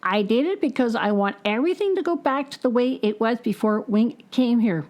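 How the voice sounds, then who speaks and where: A woman voicing a scheming, boastful male crow in a storybook, with a high squawky voice. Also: expressive, normal speed, a little mellow, lightly acted. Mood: contrite.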